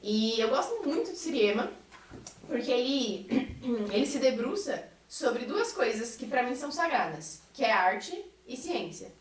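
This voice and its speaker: High-voiced Brazilian woman in an echoey room. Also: big pitch swings, unhurried. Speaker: science theatre troupe member. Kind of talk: reflective group conversation. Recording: echoey room, distant mic.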